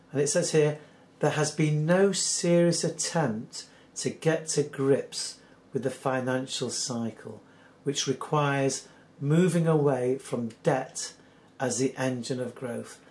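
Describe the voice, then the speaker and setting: Hoarse British man hosting commentary. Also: medium pitch, darkish tone. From United Kingdom. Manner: earnest, skeptical, measured. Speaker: channel host. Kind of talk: solo commentary reading news articles.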